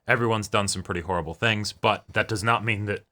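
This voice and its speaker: A man with a somewhat low, bright and forceful voice gives casual commentary as a co-host. He works through his points in a thoughtful, halting way, often checking that his listener agrees. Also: fairly even intonation. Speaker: co-host of an anime reaction show.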